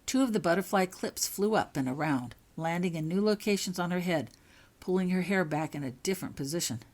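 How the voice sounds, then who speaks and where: A woman's voice: lowish voice, lively intonation, fairly quick, a little mellow. A woman narrating a scripted story aloud in an audiobook-like reading. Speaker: author reading own fan fiction aloud.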